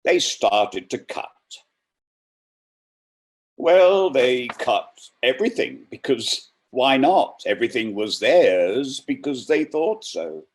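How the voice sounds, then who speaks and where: A man presenting and reading poetry aloud in a deliberate way, with informal introductions. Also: medium pitch, very animated.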